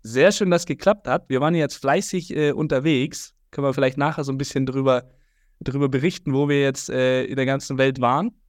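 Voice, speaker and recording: A man's voice: medium pitch, steady tone, a little fast, no echo, studio quality. A man hosting a podcast interview, talking in a friendly, rambling way with many fillers. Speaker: podcast host. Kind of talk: casual interview chat.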